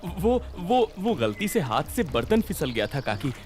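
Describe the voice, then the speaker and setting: A man's voice: on the high side, sing-song, measured pace, a little mellow. A man voicing a greedy, nervous village milkman for a children's cartoon story, with a flustered, stammering, exclamatory manner. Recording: music behind the voice.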